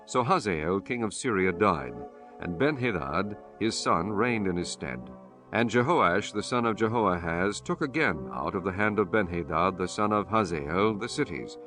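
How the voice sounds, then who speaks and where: A man reading scripture as an audiobook narrator in a solemn, measured, formal manner. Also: low-pitched, expressive, over music.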